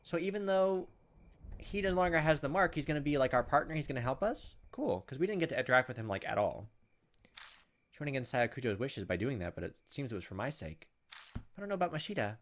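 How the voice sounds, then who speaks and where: A man's voice: mid-range voice, very animated, fast talker, fairly bright tone. A man hosting a gaming video, reading on-screen text aloud and adding flippant commentary. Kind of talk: let's-play commentary mixed with reading game text aloud. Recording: game music behind the voice. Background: video game music.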